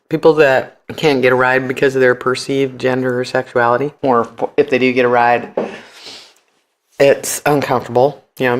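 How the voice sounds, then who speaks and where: Podcast guest, American, mid-pitched, colloquial and animated, tells stories casually and slips into quoted dialogue. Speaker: podcast guest, founder of a ride service. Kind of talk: casual storytelling in an interview.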